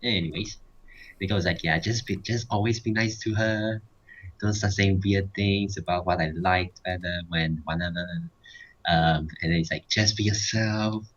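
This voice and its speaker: A man's voice: low-pitched, steady tone, normal speed. A man telling a rambling casual story over a video game, quoting what others said. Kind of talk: casual anecdote over gameplay. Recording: dull, noisy mic with keyboard clicks.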